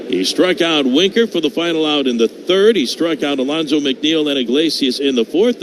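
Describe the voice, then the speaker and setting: A man calling live baseball play-by-play for radio, lifting his voice on the action and settling back between pitches. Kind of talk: live radio sports commentary. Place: open-air ballpark broadcast booth. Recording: clear outdoor ballpark noise behind.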